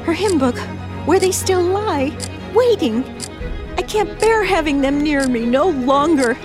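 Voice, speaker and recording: An actress playing a frantic, terrified middle-aged woman held captive, halting and stammering in the manner of an old radio suspense play. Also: high-pitched, animated, over music. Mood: anguished dread. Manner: breathless, stammering, pleading, halting. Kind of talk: old-time radio suspense drama acting.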